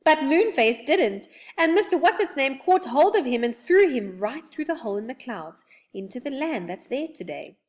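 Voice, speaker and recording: A woman's voice: high voice, sing-song, normal speed, pitched above the performer's own voice. A woman voicing a tearful young female fairy, speaking in sobs and pleas. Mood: upset. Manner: sobbing, pleading.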